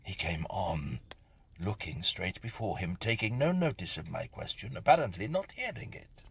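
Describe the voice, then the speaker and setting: A man reading a Victorian ghost story as scripted narration, in a husky, breathy, hushed and dramatic storytelling manner. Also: low voice, big pitch swings, moderate pace, slight background noise.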